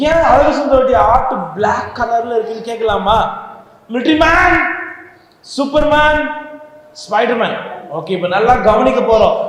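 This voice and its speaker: High-pitched man in echoing hall. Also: moderate pace, long pauses. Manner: energetic, calls out to the children and gets them shouting. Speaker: children's ministry puppeteer and host. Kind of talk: lively puppet show for children. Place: large hall with a live audience of children. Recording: echoey hall with long reverb.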